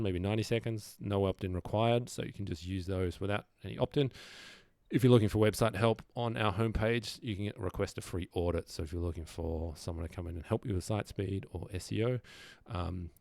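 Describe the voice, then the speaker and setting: A man's voice: deep voice, vocal fry, darkish tone, dry recording. A man presenting an informal screen-share tutorial, explaining things casually and thinking aloud as he goes.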